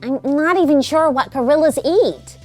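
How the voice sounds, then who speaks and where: A woman's voice: high voice, normal speed, faint music behind, voice pushed up, natural voice. A woman voicing a polite, curious young boy in a children's storybook, questioning and tentative. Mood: curious, helpful.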